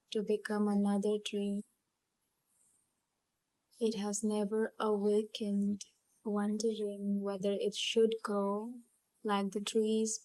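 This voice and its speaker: A woman leading a guided visualisation in a calm, soothing, hypnotic storytelling manner, leaving long pauses between phrases. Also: medium pitch, dry recording. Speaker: hypnotherapy channel host and guide.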